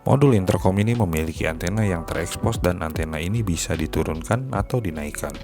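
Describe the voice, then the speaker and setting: A man's voice: low voice, brisk, creaky. A man narrating a scripted gadget review as a product reviewer. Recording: music behind the voice. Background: music.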